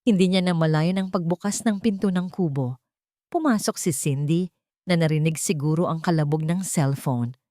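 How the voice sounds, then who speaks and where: A woman's voice: low voice, moderate pace, mellow, no echo, clean studio sound. A woman narrating a scripted dramatic love story.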